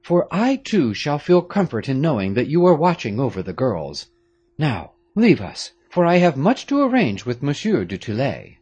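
A commanding aristocratic father lays down the law, as a man reads him. His husky voice rises high and swings widely as he speaks firmly and gravely. It is a storybook figure. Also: wildly swooping pitch, normal speed, darkish tone, dull audio. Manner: firm, authoritative. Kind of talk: audiobook character reading.

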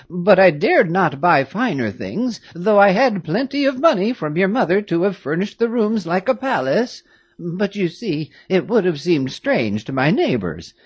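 A narrator voicing a fussy, fiercely loyal old woman who once nursed the family, high-pitched and chattering in exclamations. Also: darkish tone, husky, dry recording. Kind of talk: audiobook character reading.

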